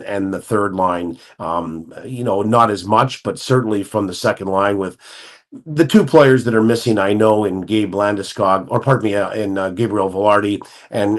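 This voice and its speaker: A man, a guest hockey analyst, talking through the game in a measured, analytical way with frequent self-corrections. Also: on the low side. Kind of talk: radio-style hockey talk.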